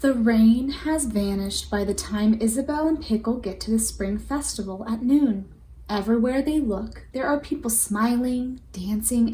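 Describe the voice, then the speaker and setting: High-voiced woman reading a children's storybook. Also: dark tone. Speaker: storybook reader. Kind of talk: children's read-aloud narration. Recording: roomy echo with faint background noise.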